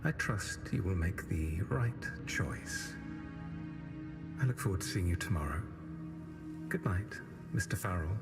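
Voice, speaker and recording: An actor playing a pious, coercive acolyte in a video game, polite and sanctimonious. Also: male voice, low voice, expressive, unhurried, pauses often. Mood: veiled menace. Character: smooth-talking male religious acolyte, pious and coercive. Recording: long reverb, faint game music.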